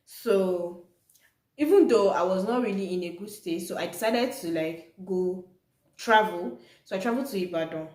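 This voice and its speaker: A woman's voice: mid-range voice, moderate pace, darkish tone. A young Nigerian woman, a native Yoruba speaker, vlogging in a casual, chatty storytelling way to her viewers. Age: young adult. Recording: echoey room.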